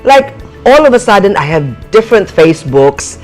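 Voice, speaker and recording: An older woman from Cebu with a Visayan accent and a low, husky bedroom voice, chatting poised and unhurried as a guest actress. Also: big pitch swings, soft background music. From Cebu, Philippines. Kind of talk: casual interview chat.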